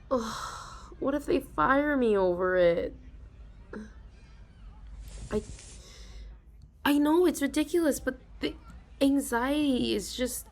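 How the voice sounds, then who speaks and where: Slow, gravelly young woman confiding softly. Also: a little high. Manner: hesitant and self-deprecating, with frequent sighs and halting restarts. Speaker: ASMR role-player playing an anxious office worker. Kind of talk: soft confiding ASMR role-play.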